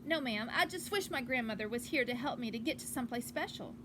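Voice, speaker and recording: A woman voicing an earnest, polite young girl in a storybook, easily hurt but resolute, speaking a little haltingly. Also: on the high side, animated, background noise, lightly acted. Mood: unsteady, pleading.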